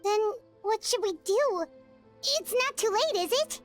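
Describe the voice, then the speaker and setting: A woman voicing a small, excitable fairy girl companion in a video game, squeaky and childlike. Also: a little slow, faint music behind. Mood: curious, puzzled. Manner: refers to herself in third person, exclamatory. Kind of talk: video game character acting.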